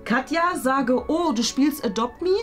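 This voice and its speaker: A young woman with a high voice hosts in a casual, playful manner. She is recorded indoors, with faint music behind her. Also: unhurried. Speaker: host of an online prank date show.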